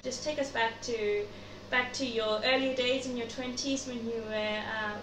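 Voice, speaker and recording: A woman's voice: on the high side, steady tone, moderate pace, a crowd in the background. A woman hosting an interview. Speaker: interview host. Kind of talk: scripted introduction and casual interviewing. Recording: noisy, echoey room, distant mic.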